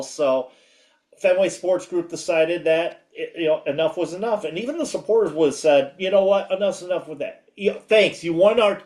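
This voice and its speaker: Male sports co-host, big projecting voice on the higher side, casual and halting delivery. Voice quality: loud, projecting voice. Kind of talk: casual sports recap chat.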